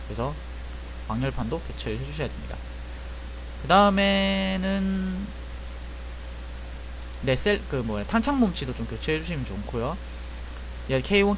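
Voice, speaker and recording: A man's voice: on the high side, a little hiss or hum, rough recording. A young man casually explaining a repair job, thinking aloud and correcting himself as he goes. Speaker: airsoft gun technician. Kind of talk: casual spoken tutorial.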